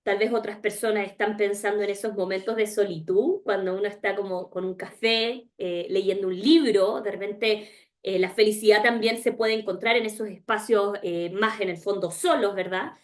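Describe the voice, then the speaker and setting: A woman's voice: mid-range voice, fairly even intonation, normal speed. A woman from Chile with a Chilean accent, presenting a webinar over a video call and often asking rhetorical questions. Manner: engaged, asks rhetorical questions, often tags "¿ya?". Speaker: webinar speaker.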